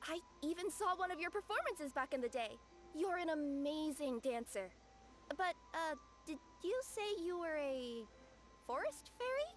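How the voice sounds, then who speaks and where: A woman voicing an excitable, flustered young cat spirit courier in a video game, stammering and exclaiming. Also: high voice, moderate pace, a little hiss or hum. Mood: eager, flustered.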